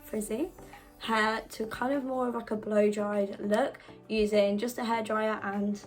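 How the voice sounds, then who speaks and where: A young woman, husky because she is unwell, chatting casually through a hair tutorial at home. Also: medium pitch, fairly even intonation, unhurried, soft background music. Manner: chatty, self-deprecating. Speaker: beauty vlogger.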